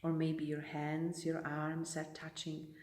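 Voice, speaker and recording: Mellow woman guiding meditation, roomy sound. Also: sits low, completely flat delivery. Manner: unhurried, gentle pacing with long pauses. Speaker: meditation teacher leading a session. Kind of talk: guided meditation. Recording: roomy echo, distant microphone.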